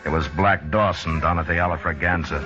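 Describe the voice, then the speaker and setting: Weary, understated frontier lawman male actor, low and measured, vintage radio drama on a dull recording. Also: fairly even intonation, over loud music, lightly acted. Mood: brooding narration. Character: steady, weary frontier lawman narrating his western tale. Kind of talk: 1950s radio drama acting.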